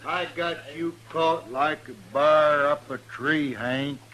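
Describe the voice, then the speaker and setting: A man's voice: on the high side, slow pace, pushed and bright, rough recording. An actor playing a stubborn, feuding hillbilly gunman with a blunt rural American drawl in an old-time radio western. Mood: threatening. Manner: drawling, blunt backwoods talk. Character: stubborn feuding hillbilly man, long-legged gunman with rigid scruples.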